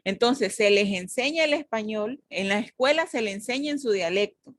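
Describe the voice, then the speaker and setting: Una mujer con acento hondureño que, como docente universitaria, explica y corrige con calma a sus estudiantes. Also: female voice, on the high side, normal speed. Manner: explanatory, corrects and clarifies. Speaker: teacher. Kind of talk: university class discussion.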